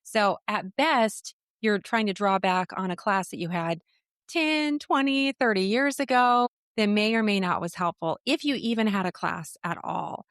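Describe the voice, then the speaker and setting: A middle-aged woman with an American accent speaks as an interview guest, articulate and enthusiastic in the way of a practiced coach. Her pitch sits in the middle range, and the sound is dry, with no room echo. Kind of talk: podcast interview, conversational explaining.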